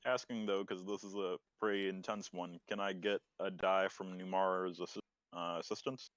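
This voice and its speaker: Male tabletop player, lowish voice, dry sound, casual table talk. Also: flat delivery, moderate pace, a bit bright, clear, resonant tone, no room sound, muffled recording. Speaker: player in a tabletop role-playing game. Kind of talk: casual game chat.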